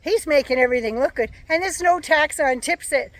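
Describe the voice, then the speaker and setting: A woman giving a sarcastic, profane political rant as a vlogger, her voice going hoarse. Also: sits high, fairly quick. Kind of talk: casual ranting monologue.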